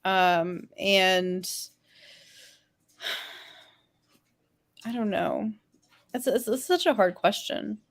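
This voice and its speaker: A woman with a mid-pitched voice speaks on a casual fan panel. She is halting and unsure, searching for her answer as she talks.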